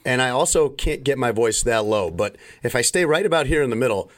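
A man hosting a podcast in casual chat, talking in an animated way, his voice still not fully recovered. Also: medium pitch, fairly quick. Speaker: podcast host.

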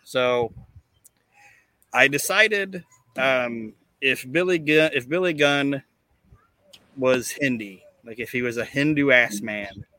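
A man hosting a casual comedy podcast, chatting and reading things out in a deadpan way. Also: mid-range voice, slow, pauses often, dry recording. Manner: deadpan, monotone when reading. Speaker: podcast host. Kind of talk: casual comedic podcast chat with mock recitation.

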